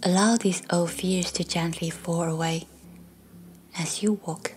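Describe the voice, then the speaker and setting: A woman guiding a meditation in a soft, breathy, half-whispered voice, speaking gently in measured short phrases with long pauses. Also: lowish voice, steady tone, a little hiss or hum. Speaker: meditation guide. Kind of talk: guided meditation, slow scripted narration. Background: faint background music.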